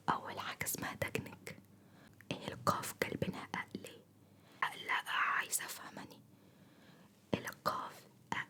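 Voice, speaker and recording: A woman voicing a chatty, homesick Upper Egyptian beautician in a breathy whisper, with a Sa'idi accent and effusive, repetitive grumbles and endearments, as an ASMR roleplay. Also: natural voice. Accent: Upper Egyptian (Sa'idi) Arabic.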